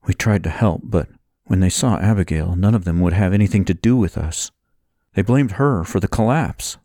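A grieving, shaken man in a dramatic story, voiced by a male narrator. He speaks low, with some creak, in a dry recording. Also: moderate pace, warm tone, no echo. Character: Adam, shaken, grieving man bringing grim news.

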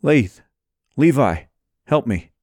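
A man's voice: mid-range voice, sing-song, normal speed, no room sound, clean studio sound, voice pushed up. A man voicing an urgent, caring male leader in an audiobook story.

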